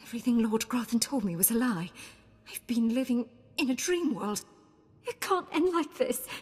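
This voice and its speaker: Proud young Sith aristocrat in a game: high-voiced, dramatic, shattered, heard in a reverberant hall. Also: female voice, sing-song, moderate pace, darkish tone, slightly rough. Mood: desperate, defeated. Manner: dramatic, anguished.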